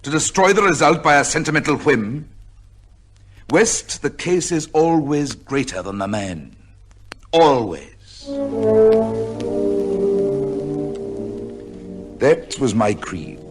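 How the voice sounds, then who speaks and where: An actor playing a cold, proud judge in an old radio drama, speaking in a formal, emphatic way. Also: male voice, mid-range voice, expressive, unhurried, slightly rough. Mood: cold, insistent. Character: stern, cold, proud retired English high court judge. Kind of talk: old-time radio drama acting, first-person narration. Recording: old radio recording with music.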